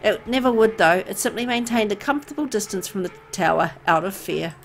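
A woman's voice: mid-range voice, lively intonation, brisk. Woman narrating horror, music underneath. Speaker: gameplay video host reading a visual novel aloud. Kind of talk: dramatic read-aloud narration of horror story text.

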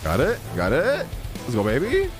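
A young man doing live gameplay reaction commentary as a streamer, excitable and full of slangy exclamations. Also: a little high, moderate pace. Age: young adult. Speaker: game streamer. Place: indoor streaming room. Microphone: close desk microphone. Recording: loud game battle music behind. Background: game battle music.

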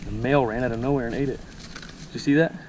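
A man with a mid-pitched voice talks casually as he fishes, folksy and colloquial, often muttering to himself. The recording is outdoors on an action camera, with clear background noise of ambience and insects. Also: fairly even intonation, very fast. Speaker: angler filming a fishing outing. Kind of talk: casual chat while fishing. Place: outdoors on a grassy pond bank.

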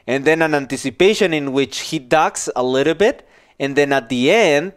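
A man in his forties giving an informal software tutorial, explaining casually step by step into a headset microphone in a small office. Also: on the high side, normal speed, clear, resonant tone. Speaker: animation tutorial instructor.

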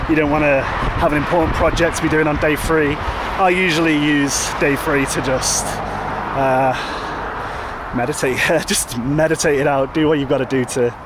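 Brief: man, fairly high voice, chatty walking vlog on a phone, wind and traffic clearly audible behind him. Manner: rambling, relaxed, many fillers and restarts. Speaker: vlogger. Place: outdoors, walking by a road with traffic. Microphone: handheld phone. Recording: outdoor wind and traffic noise.

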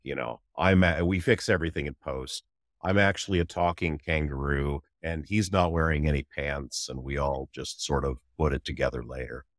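A man's voice: very deep voice, steady tone, moderate pace, no room sound, clean studio sound. A middle-aged man, a guest author telling his story casually in an interview, with a wry, joking manner.

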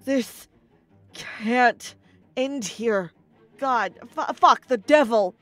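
Fierce, unhurried woman pilot. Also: a little high, dry recording, faint music behind. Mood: desperate, pleading. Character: fierce, foul-mouthed, hopeful woman pilot in apocalypse. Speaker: voice actor. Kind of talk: dramatic sci-fi audio drama acting.

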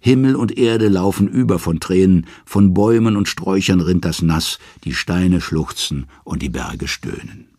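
Male saga narrator: very low, husky voice, scripted dramatic storytelling. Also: normal speed, hardly any pauses, a little mellow, no echo, clean studio sound. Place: dry studio.

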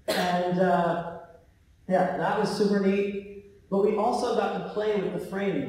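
Man, higher-pitched voice, informal stage talk, casual halting delivery, distant from the mic, long hall reverb. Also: mellow, a little hiss or hum. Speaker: tech company co-founder giving a talk. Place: large theatre stage, indoors. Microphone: handheld microphone, recorded by a far camera. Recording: very echoey hall, heard from far off.

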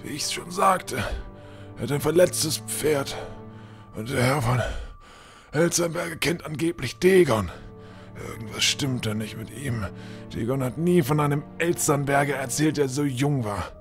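A man's voice: mid-range voice, measured pace, soft background music, lightly acted. A man playing an irritable, commanding, scornful old lord in a fantasy audio drama. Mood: grumbling. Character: grumpy, imperious old lord of the house. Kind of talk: fan-made fantasy audio drama acting.

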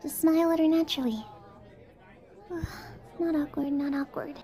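A woman's voice: high-pitched, steady tone, unhurried, dark tone, some chatter behind, pitched above the performer's own voice. A woman voicing a shy, flustered teenage schoolgirl who overthinks anxiously, in an amateur comic fandub.

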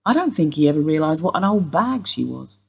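An actress playing a sharp-tongued, bitter woman in her sixties, wry and sardonic in a radio play. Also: low-pitched, big pitch swings, a little fast, vocal fry, slightly rough, exaggerated voice.

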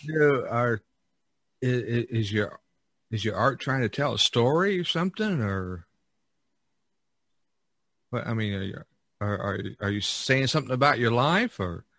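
Bright-voiced man, halting and casual. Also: medium pitch, animated, no room sound, clean studio sound. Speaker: podcast host, painter. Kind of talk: casual art conversation.

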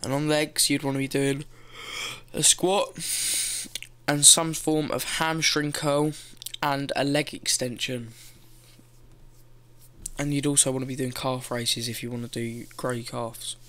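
A man's voice: medium pitch, flat delivery, a little slow, long pauses, sharp tone. A teenage boy giving casual gym advice from his bedroom, loose and rambling, swearing now and then, talking into a gaming headset. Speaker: fitness vlogger. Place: bedroom, indoors.

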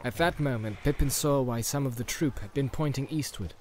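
A male audiobook narrator tells a fantasy story in a dramatic, scripted style. His voice sits at a middle pitch. A faint ambient soundscape runs behind him. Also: a little fast, a little mellow, slight background noise.

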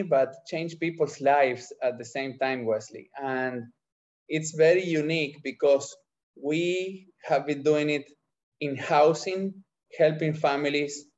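A middle-aged man with an Argentine accent and Spanish as his first language, answering interview questions haltingly as he searches for words. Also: mid-range voice, a little mellow. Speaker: entrepreneur, podcast guest. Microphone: video call microphone. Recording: dull, lo-fi video call.

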